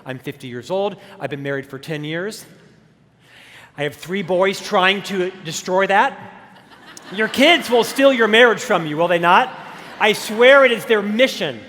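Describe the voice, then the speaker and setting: A man's voice: high-pitched, a little slow, pushed and bright, a little hiss or hum. A man in his fifties with a stutter, telling his life story at a motivational conference with self-deprecating humor. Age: 50s. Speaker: keynote speaker. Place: large conference hall stage. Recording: long reverb of a conference hall.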